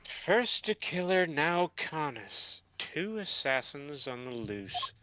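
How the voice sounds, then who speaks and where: A man's voice: a little high, very animated, takes it slowly, sharp tone, heavily acted. A man playing a serious, stern and easily indignant young prosecutor in a video game fan dub. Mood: pondering. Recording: music playing under the voice.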